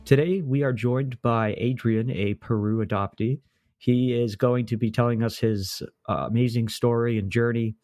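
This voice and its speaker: A man from New England hosting an interview podcast, asking questions and giving quick affirmations in a conversational way. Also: medium pitch, steady tone, darkish tone, no room sound, soft background music. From New England, USA. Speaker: podcast host. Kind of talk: casual interview.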